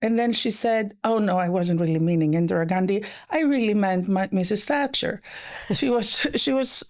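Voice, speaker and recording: A middle-aged woman guest, an economist whose first language was French, telling stories in an animated way, with quick bursts and soft, hushed quoting. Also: mid-range voice. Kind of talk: conversational interview.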